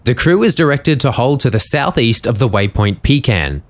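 A man's voice: mid-range voice, expressive, moderate pace. A man narrating in a scripted, explanatory documentary style.

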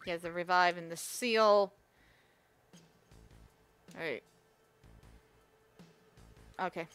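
A woman giving casual let's-play commentary, her voice raspy and strained, talking to herself and the viewers with quick asides. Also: lowish voice, fairly even intonation, a bit bright, no room sound. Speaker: gamer playing a game on video. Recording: faint game music behind.

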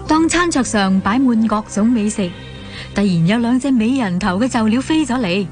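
A woman's voice: a little high, lively intonation, normal speed, a little mellow, faint music behind. A woman with a Hong Kong accent narrating a scripted radio drama as a storyteller.

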